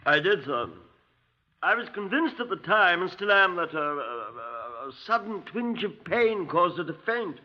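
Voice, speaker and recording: High-voiced, stammering, affronted doctor. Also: male voice. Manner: stammering, formal, indignant. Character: stiff, defensive male physician, easily affronted. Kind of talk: 1950s radio drama acting. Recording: dull 1950s radio recording.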